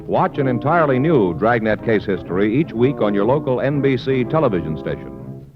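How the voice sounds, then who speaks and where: A man's voice: medium pitch, sing-song, a little fast. An American man announcing in a polished, emphatic 1950s radio style. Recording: muffled old radio recording. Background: brass music.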